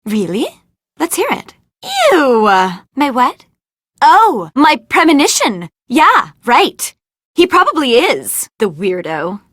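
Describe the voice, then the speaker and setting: Vain, high-voiced, dramatic ditzy starlet. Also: female voice, big pitch swings, takes it slowly, fairly bright tone, no room sound, studio quality, full character voice. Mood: conspiratorial. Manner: gushing, theatrical, gossipy. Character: vain, ditzy, dramatic young movie actress, scheming for fame. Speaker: video game voice actor. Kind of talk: animated adventure game dialogue.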